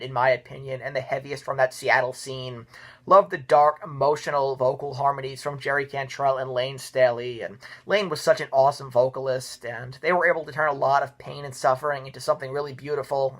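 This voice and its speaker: A man hosting a casual music review video, chatty and full of fillers, his voice wearing out and a little hoarse. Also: medium pitch, a bit bright. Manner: chatty, peppered with "you know" and "right".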